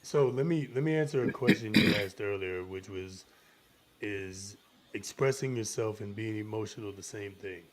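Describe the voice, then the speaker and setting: A man's voice: fairly low pitch, moderate pace, crowd noise. A man co-hosting a casual discussion, explaining his opinion point by point. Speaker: podcast co-host.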